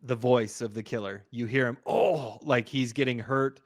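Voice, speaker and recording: A man's voice: mid-range voice, steady tone, normal speed, no room sound. Dry-sounding man in casual film chat. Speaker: film podcast co-host.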